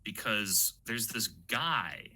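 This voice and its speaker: A man's voice: on the low side, expressive, very slow, sharp tone. A man being interviewed as a guest, telling anecdotes in a casual, sweary, rambling way. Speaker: interview guest, audio drama creator.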